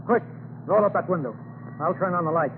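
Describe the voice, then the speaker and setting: An actor playing a steady, worried farmer father in an old-time radio drama, reassuring his family through hard times. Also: high-pitched, a little fast. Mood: urgent, steadying. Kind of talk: 1940s radio drama acting. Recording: scratchy tinny old radio recording.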